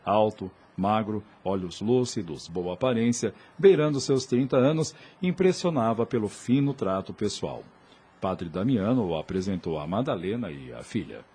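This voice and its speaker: A man's voice: on the low side, lively intonation, moderate pace, dark tone. A man narrating a scripted audio drama in a formal, literary way. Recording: clear background noise.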